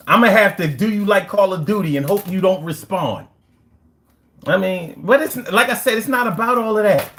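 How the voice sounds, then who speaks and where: A young man with a Philadelphia accent talks to his livestream viewers in loose, profane street slang. His delivery swings up and down as he boasts and jokes, and his pitch moves a lot from line to line. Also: a bit bright. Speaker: livestream host. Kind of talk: casual livestream chat reading comments.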